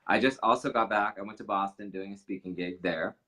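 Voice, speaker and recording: A man in his forties with a lowish voice hosts a casual livestream from his living room. He sounds upbeat and chatty, slightly nasal from allergies, heard through a video call. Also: steady tone, brisk, bright, forceful tone. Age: 40s. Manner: upbeat, chatty, reads comments aloud. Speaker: host.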